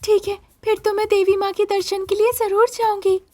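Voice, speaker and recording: A woman's voice: very high voice, little pitch movement, normal speed, mellow, no echo, pitched above the performer's own voice. A woman voicing a frightened, polite young wife who pleads plaintively in a dramatised story, with music behind her. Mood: grateful. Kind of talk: dramatised Hindi audio story.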